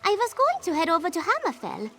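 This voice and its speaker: A woman voicing an excitable, scatterbrained traveller in a fantasy game, chatty and easily flustered. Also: very high voice, quick pace, soft background music, heavily acted. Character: excitable, scatterbrained female traveller who overshares. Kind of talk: scripted fantasy game dialogue.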